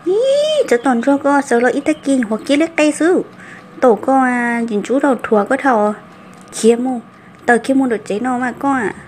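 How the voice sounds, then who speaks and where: A woman's voice: high-pitched, normal speed, a little mellow, slight background noise, natural voice. A woman playing a female character in a folk-tale cartoon about a family. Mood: conversational.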